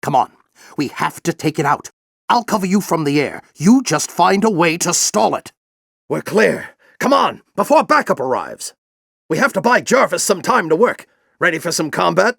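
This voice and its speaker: A man playing a grizzled older alien warrior general in a video game, with a gruff, commanding, theatrical delivery and a fanatical intensity. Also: high-pitched, sing-song, moderate pace, fairly bright tone, no echo, clean studio sound, full character voice. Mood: brisk, commanding. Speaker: military commander and mentor. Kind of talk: video game voice acting, combat barks and dramatic speeches.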